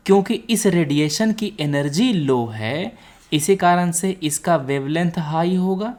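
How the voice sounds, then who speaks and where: A woman's voice: lowish voice, lively intonation, a little slow, darkish tone. A woman with an Indian accent giving an explanatory lecture as a teacher, repeating key points and checking for understanding. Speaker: teacher, exam coaching instructor.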